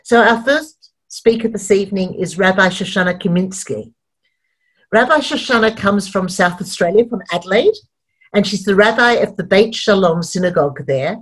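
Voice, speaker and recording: Woman moderator, brisk formal introductions, middle pitch, video call. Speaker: host, panel moderator. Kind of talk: introducing a speaker.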